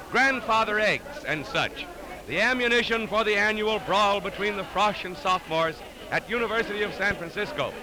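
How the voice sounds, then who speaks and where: A man's voice: high-pitched, rough recording. A man announcing a scripted 1930s newsreel with dramatic, florid delivery on an old film soundtrack. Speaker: newsreel narrator. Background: music.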